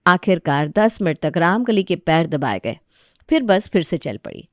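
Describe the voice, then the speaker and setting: A woman's voice: fairly low pitch, big pitch swings, moderate pace, no echo, studio quality. A woman reading scripted narration of a comic family story as its narrator.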